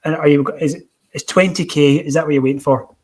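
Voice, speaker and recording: A man's voice: on the high side, steady tone, fast, a little mellow, slight background noise. A man hosting a casual podcast chat, bantering as he puts listener questions to his guests. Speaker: podcast host.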